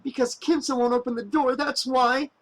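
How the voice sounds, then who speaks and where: A man's voice: high-pitched, moderate pace, creaky, fairly bright tone, pitched above the performer's own voice, heavily acted. A man voicing a desperate young woman who screams and pleads through tears. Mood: frantic.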